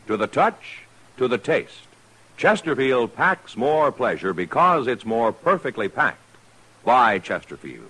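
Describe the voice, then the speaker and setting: A man's voice: mid-range voice, sing-song, measured pace, sharp tone, a little hiss or hum, poor audio. An American man working as a commercial announcer, giving a polished, emphatic 1950s radio advertising read.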